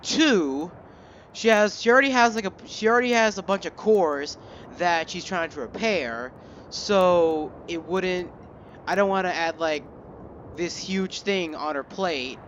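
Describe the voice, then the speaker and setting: A young man giving casual, halting game commentary, thinking aloud as he plays. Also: high-pitched, fairly bright tone, dull audio, slight background noise. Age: young adult. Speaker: gamer recording a playthrough. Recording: game music behind voice.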